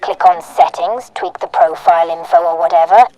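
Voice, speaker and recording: Woman acting as brash young woman, mellow dark tone, sardonic, sweary, dry close sound, audio drama. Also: on the low side, normal speed, dry recording, highs cut off, lightly acted. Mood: irritated, impatient. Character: brash, foul-mouthed, irritated young woman customer. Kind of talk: scripted drama dialogue.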